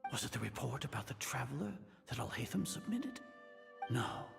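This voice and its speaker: A man's voice: mid-range voice, big pitch swings, moderate pace, vocal fry, over music. An actor playing an imperious, suspicious older male grand sage in a video game, speaking formally and commandingly as he grows rattled. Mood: brooding, puzzled. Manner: commanding, formal, questioning himself aloud.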